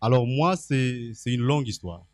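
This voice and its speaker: A man's voice: medium pitch, moderate pace, darkish tone, no echo, studio quality. A man from the Équateur province of Congo, a guest on a reading panel, telling his story chattily with many fillers and restarts into a handheld microphone. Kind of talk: public panel talk. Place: event hall stage.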